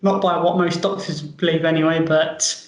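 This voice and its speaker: A man's voice: on the high side, flat delivery, moderate pace, roomy sound. A man with a London accent, chatting upbeat as an interview guest. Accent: London English. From England. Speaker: guest, medical device founder. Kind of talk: casual interview talk.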